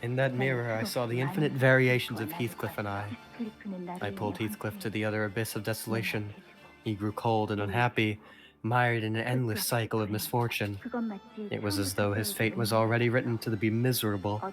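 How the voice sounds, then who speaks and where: A man's voice: level tone, fairly quick, natural voice. A man voicing a melancholy young noblewoman who solemnly tells her story in an amateur game dub. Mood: mournful. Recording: low-quality game capture under music. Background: game music.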